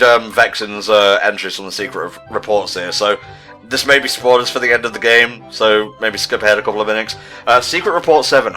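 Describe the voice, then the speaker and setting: Bright-voiced sarcastic man over game music. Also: mid-range voice. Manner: sarcastic, profane. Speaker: playthrough commentator. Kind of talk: joking game commentary with dramatic readings.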